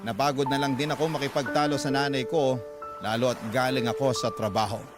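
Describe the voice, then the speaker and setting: Male radio drama narrator reading a first-person story, medium pitch, prominent music behind. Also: fairly even intonation, fairly quick. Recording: very loud music bed.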